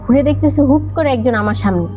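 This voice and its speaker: High-voiced young Bangladeshi woman telling a story. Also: animated, fast talker, warm tone. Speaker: podcast guest. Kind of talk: conversational personal ghost-story account. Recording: music playing behind the voice. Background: background music.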